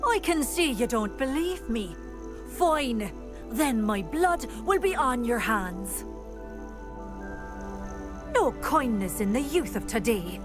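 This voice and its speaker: A woman's voice: a little high, big pitch swings, slow pace, pauses often, over music. An actress playing a fretful, theatrical older witch in a video game, plaintive and dramatic. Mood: wounded, dramatic. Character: anxious, dramatic older witch fearing an omen of death. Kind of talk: video game character acting.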